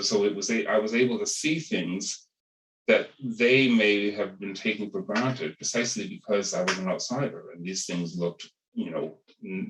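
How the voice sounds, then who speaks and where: A man speaking as a panelist in an academic webinar, thinking aloud with many restarts and repeated words. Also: low-pitched. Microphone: video call. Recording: roomy, distant video call audio.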